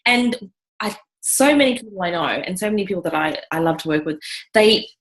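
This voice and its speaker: A woman's voice: medium pitch, expressive, a little fast. A woman hosting a casual video interview, enthusiastic and chatty, often dropping in "you know". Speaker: interview host.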